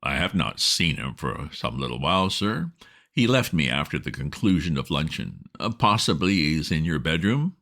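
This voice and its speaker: A man voicing a calm, deferential valet who speaks in a measured, grave manner in a storybook reading. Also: a bass voice, heavily acted. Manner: measured, grave, soft-spoken.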